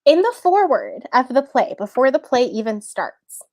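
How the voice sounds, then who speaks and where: A female interview host with a fairly high voice and very lively intonation. She chats informally and effusively as she puts questions to her guest. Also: normal speed, no echo, studio quality. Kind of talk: casual interview chat.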